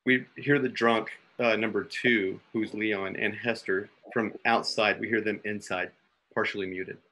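A man's voice: lowish voice, steady tone, measured pace, pushed and bright, rough recording. A man reading stage directions and sound cues for a radio play in an informal narrating style.

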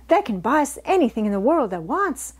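A woman's voice: sits high, big pitch swings, fairly quick, breathy edge, pitched above the performer's own voice, heavily acted. Woman playing a chatty young country housemaid. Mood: excited, helpful. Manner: folksy dialect grammar. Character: chatty, good-hearted young Yorkshire housemaid.

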